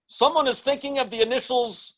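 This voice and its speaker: A man acting in an old-time radio drama as an agitated stage mind reader, with a halting, stammering, theatrical delivery. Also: very high voice, fairly bright tone. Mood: showy, grandiose. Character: agitated nightclub mind reader, showy on stage, urgent offstage.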